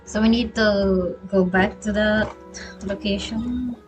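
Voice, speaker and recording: A woman's voice: mid-range voice, steady tone, takes it slowly. A woman streaming a game, reacting casually in short, slangy interjections. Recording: game music behind voice.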